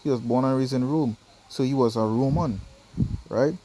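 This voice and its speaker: Mellow Trinidadian man teaching scripture. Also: mid-range voice, moderate pace, low-quality recording. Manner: conversational, keeps asking "right?" and "you understand?". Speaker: Bible teacher. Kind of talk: Bible study video, reading verses and explaining.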